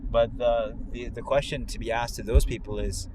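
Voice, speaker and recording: A man's voice: mid-range voice, steady tone, moderate pace. A man co-hosting a casual discussion in a car, explaining things in a conversational, teasing way. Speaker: talk show co-host. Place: inside a car on the road. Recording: loud car and road noise. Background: vehicle and outside traffic noise.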